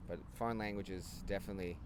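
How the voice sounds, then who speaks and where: Low-voiced American man on a city street. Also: fast. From New Jersey, United States. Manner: relaxed, musing, many "like" asides. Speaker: vlog host. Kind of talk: casual chat. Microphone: handheld camera at arm's length. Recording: outdoor city traffic noise.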